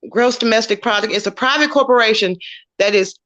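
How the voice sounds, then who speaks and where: A woman on an online panel, talking loudly and emphatically and repeating her key words. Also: fairly high pitch, a little fast, highs cut off. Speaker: panelist on legal topics.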